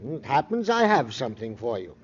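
A man's voice: mid-range voice, sing-song, brisk, a little hiss or hum, close to an everyday voice. A man playing a fussy, easily wounded police clerk in a vintage radio drama, pompous, plaintive and flowery in his wording. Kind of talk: 1950s American radio crime drama acting. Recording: muffled old radio recording.

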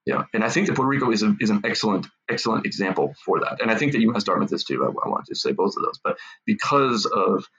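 A man from Massachusetts, an author and academic, answering in an unscripted interview in a halting way, with many restarts and repeated words. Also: lowish voice, animated, a bit bright, husky, highs cut off.

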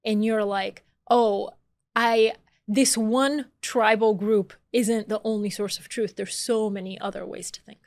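A woman's voice: mid-range voice, steady tone, a little slow, a little mellow, dry recording. Chatty woman guest, dry close sound. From born in Bulgaria, raised in Atlanta, Georgia. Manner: chatty, frequent "like" fillers, laughs easily. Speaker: author and podcast guest. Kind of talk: casual conversational interview.

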